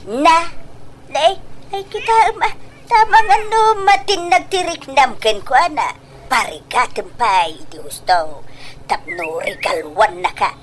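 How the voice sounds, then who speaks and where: A woman playing a scheming, greedy, pushy middle-aged mother in a comedy radio drama, gushing with drawn-out exclamations in an Ilocano accent. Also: high-pitched, expressive, slight background noise. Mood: pushy, coaxing.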